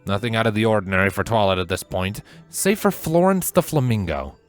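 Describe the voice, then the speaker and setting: Expressive male story narrator. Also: on the low side, a little fast, faint music behind. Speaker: fan fiction narrator.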